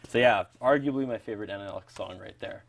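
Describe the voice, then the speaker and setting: A man's voice: lowish voice, fast talker, vocal fry. A man hosting a music show, chatting casually and enthusiastically with a guest. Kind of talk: casual interview chat.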